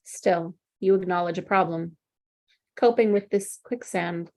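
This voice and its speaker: A woman playing a composed, probing psychiatrist in a screenplay table read, speaking in a deliberate, clinical way. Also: on the low side, darkish tone. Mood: calm, authoritative. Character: composed, probing female psychiatrist, coolly authoritative.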